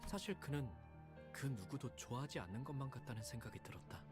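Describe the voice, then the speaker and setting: A timid, lonely young man narrates in a quiet, reflective voice, as an actor voices him, and his pitch rises and falls widely. Clear music runs under his lines, and the telling moves along at a very fast clip. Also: animated, lightly acted. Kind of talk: game narration voice acting.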